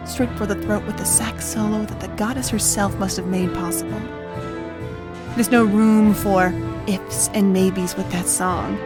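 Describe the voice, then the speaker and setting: A woman's voice: medium pitch, natural voice. A woman voicing a bookish pony who dreams of writing novels, in an audio drama. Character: bookish filly pony, later a brash aspiring novelist. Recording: music behind the voice.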